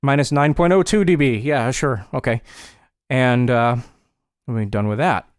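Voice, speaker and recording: A man narrating a software tutorial step by step in a casual, informal way, with dry wry asides. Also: medium pitch, normal speed, clear, resonant tone, dry recording. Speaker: tutorial presenter.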